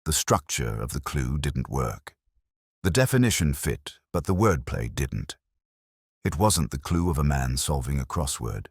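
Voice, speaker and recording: Really low male voice reading a moody detective story, measured and literary, pitch moves around a lot, dry close sound. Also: very animated, breathy edge, no echo. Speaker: audiobook narrator. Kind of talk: scripted first-person detective story narration.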